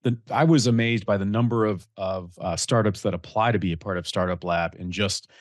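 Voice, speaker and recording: Male interview host, low voice, chuckling, short joking interjections. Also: fairly quick. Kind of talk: casual interview chat.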